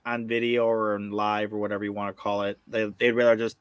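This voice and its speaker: A man's voice: lowish voice, level tone, quick pace, smooth, no room sound. A man hosting a podcast in casual, informal conversation. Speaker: podcast host. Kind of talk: casual chat.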